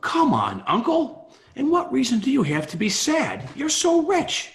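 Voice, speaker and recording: A man's voice: very high-pitched, huge pitch swings, a little slow, exaggerated voice. A man playing a cheerful, earnest nephew in a table read, pleading in an emphatic, theatrical way. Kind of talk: amateur table read of a Victorian Christmas story.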